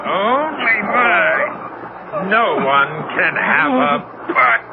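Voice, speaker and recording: An actor playing a murderously jealous, domineering sea captain in a radio mystery, imperious and deliberate in his delivery. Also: extremely high pitch, sing-song, creaky, heavily acted. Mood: murderous, obsessed. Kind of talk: 1970s radio mystery acting. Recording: noisy, tinny old radio broadcast recording.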